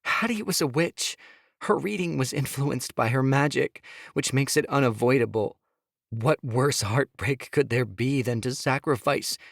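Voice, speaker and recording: A man's voice: mid-range voice, a little slow, creaky, no echo, clean studio sound, pitched above the performer's own voice. A frightened young mother pleading through tears, as a male narrator puts her on. The voice is lifted well above the reader's own and pushed hard with strain. It is a storybook figure, anxious and despairing.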